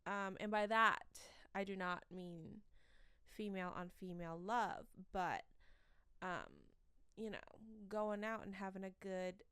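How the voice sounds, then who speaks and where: Young woman, shrill voice, unhurried rambling chat, self-mocking, dry close bedroom sound. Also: fairly even intonation, pushed and bright, clean tone, dry recording. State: sleep-deprived. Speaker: podcast host. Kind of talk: casual solo podcast chat. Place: corner of her bedroom.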